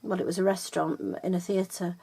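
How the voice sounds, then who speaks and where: Older woman, husky and hesitant, chatty home-tutorial teacher. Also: lowish voice, fairly even intonation, brisk, dark tone. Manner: chatty, hesitant, with many ums and self-corrections. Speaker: felting teacher. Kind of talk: casual video tutorial. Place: home room, upstairs.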